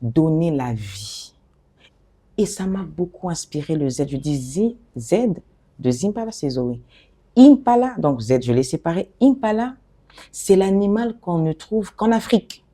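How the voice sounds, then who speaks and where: A middle-aged woman from the DR Congo, an interview guest who talks about her work in an animated, expressive way and often restarts her phrases. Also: low-pitched, unhurried. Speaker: guest, fashion designer and author. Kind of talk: conversational interview.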